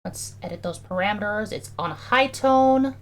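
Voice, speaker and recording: A young adult streamer with a high-toned voice, chatting casually and filling every pause with "like" and "um" while working through audio edits live. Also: expressive, fairly bright tone, slight background noise. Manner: chatty, heavy use of "like" and "um".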